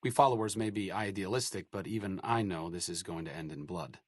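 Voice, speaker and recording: A man's voice: low voice, brisk, no echo. An actor playing a dry-witted, idealistic but weary male doctor in a video game, speaking in a wry, sardonic way.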